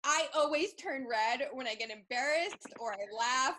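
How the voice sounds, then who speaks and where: A woman's voice: high voice, moderate pace, bright, forceful tone, a little hiss or hum, poor audio. A woman in her thirties co-hosting a casual podcast, giggly and self-mocking. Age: 30s. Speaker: podcast co-host. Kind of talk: casual chat among friends.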